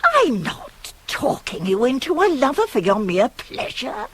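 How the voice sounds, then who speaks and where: An actress playing a shrewd, pragmatic older nurse in a radio Greek tragedy, arguing with emphatic, insinuating rhetoric that can drop to hushed tones. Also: female voice, high-pitched, wildly swooping pitch, unhurried, heavily acted. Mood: indignant. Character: older female nurse, shrewd, pragmatic, persuasive confidante of a queen. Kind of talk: 1980s radio play acting of Greek tragedy. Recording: hissy old cassette radio recording.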